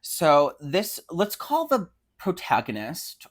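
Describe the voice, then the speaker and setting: A woman's voice: fairly low pitch, wildly swooping pitch, takes it slowly. A young woman with an American accent chatting casually as a podcast co-host, irreverent and slangy. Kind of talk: casual unscripted chat.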